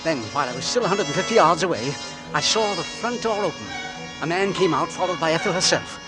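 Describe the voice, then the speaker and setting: Aktor grający rozedrganego, melodramatycznego męża ze starego słuchowiska. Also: male voice, on the high side, lively intonation, fast talker, natural voice. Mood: frantic dread. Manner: melodramatic, fraught, swinging from hushed to frantic. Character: anxious suspicious husband, an Englishman, secretly dreading his poisoner wife. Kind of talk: 1940s radio drama acting, with first-person narration. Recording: vintage 1940s radio recording with music.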